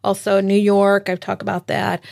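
Creaky-voiced woman podcast host. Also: mid-range voice, completely flat delivery, normal speed. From United States. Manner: warm affirming, frequent mm-hmms. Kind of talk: casual interview chat.

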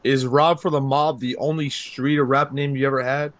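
A man with a mid-pitched voice, asking questions in a plain, laid-back way. He sounds like an ordinary conversation partner on a casual show. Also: flat delivery, normal speed, fairly bright tone. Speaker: co-host. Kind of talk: casual podcast interview. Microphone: conference call line.

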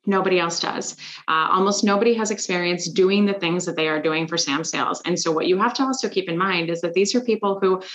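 A woman's voice: lowish voice, quick pace, a bit bright, low-quality recording. A woman business founder answering as an interview guest in an articulate, earnest, explanatory way.

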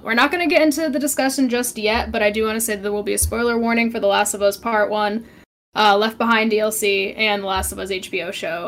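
A young woman co-hosting a casual podcast chat, telling stories with lively acted-out quotes. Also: medium pitch, vocal fry. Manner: animated storytelling, acts out her own quotes. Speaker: podcast co-host.

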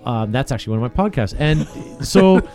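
A man's voice: mid-range voice, lively intonation, fairly quick, darkish tone, faint music behind. Chatty podcast host man. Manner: chatty, laughs easily, trails off. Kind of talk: casual chat. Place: small podcast studio.

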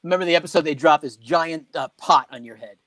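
A man's voice: a little high, fast talker. A man in his sixties from New Jersey, co-hosting a casual, joking group chat. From New Jersey, USA. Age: 60s. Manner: playful, jokey, animated. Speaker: podcast co-host.

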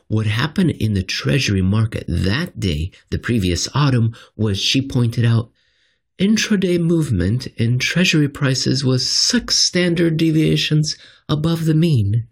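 A man narrating a written finance commentary in an expressive, scripted style with dramatic, deliberate phrasing. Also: medium pitch, gravelly, slightly rough.

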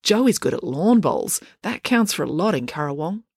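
A woman's voice: on the low side, sing-song, normal speed, a little mellow, breathy edge, dry recording, studio quality, heavily acted. A woman voicing a prim, cheerful rich girl who speaks bluntly.

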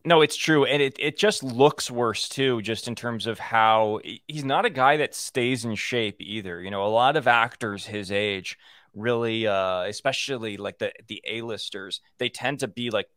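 A man's voice: medium pitch, normal speed, a bit bright, dry recording, clean studio sound. A man with a young-sounding voice co-hosting a casual podcast, rambling with lots of "like" fillers and the odd joke. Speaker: podcast co-host.

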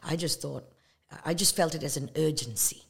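A woman's voice: deep voice, vocal fry, husky. A woman from Malaysia, an evangelist guest, speaking earnestly and reflectively about her faith in a conversational way. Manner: earnest and reflective, with pauses and fillers. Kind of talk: conversational testimony.

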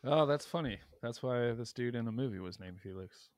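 A man's voice: medium pitch, fairly quick, dry recording. Man, podcast co-host, loose jokey banter, dead-dry sound. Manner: jokey, rambling, drops to mumbles. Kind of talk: casual chat.

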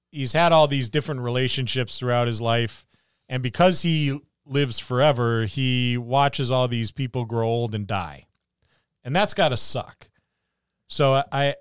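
A man's voice: mid-range voice, smooth, dry recording, studio quality. A man co-hosting a gaming podcast, chatting informally and jokingly and slipping into imagined dialogue as he goes. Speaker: podcast co-host. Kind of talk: casual chat about tabletop gaming.

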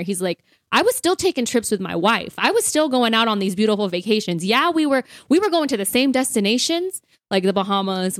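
An American woman with a mid-pitched voice talks as a podcast co-host. She is earnest and emphatic, speaking in loose, casual phrases peppered with "like". The sound is close and very dry. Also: no room sound. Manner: earnest, emphatic, informal with frequent "like". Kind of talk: casual conversational podcast talk.

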